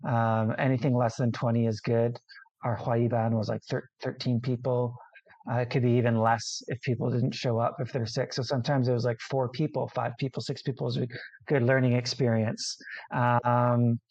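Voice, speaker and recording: A man, an international student, answering interview questions casually, often restarting sentences and filling pauses. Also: medium pitch, little pitch movement, darkish tone, dry recording, dull audio. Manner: hesitant, many restarts and fillers.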